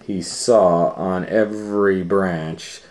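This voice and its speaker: Male storytime host, low register, unhurried pace, chatty read-aloud for young children. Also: steady tone, darkish tone. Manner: conversational asides and questions to listeners.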